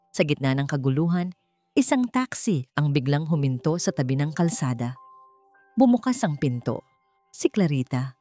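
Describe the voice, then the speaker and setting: A woman's voice: low voice, warm tone, dry recording. A woman narrating a dramatic audiobook story in a scripted storytelling style. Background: background music.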